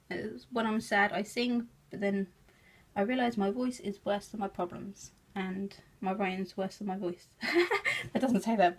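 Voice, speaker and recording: A woman with an English accent, chatting casually as she shows her craft work, laughing often and joking about herself. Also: mid-range voice. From England. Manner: chatty, giggly, self-deprecating. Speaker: craft vlogger. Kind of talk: casual show-and-tell narration.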